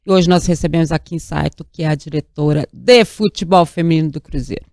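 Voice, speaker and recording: A woman's voice: low-pitched, fairly quick, vocal fry, a little mellow, no echo, studio quality. A woman hosting an interview show, speaking enthusiastically and emphatically, often tagging her sentences with "né?".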